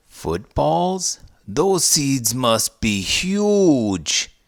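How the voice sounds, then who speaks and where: A man voicing an excitable, cheeky little bookworm from a children's storybook, exclaiming in a silly, incredulous way. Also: medium pitch, extremely slow, creaky, dry recording, clean studio sound, voice pushed up, exaggerated voice. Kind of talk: cartoonish children's storybook character voice.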